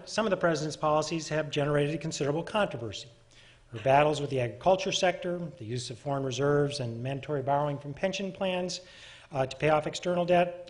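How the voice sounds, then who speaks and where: A man's voice: fairly high pitch, a bit bright. A man hosting a forum, reading a prepared introduction of the guest and now and then stumbling and correcting himself. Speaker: forum host introducing the speaker. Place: lecture hall.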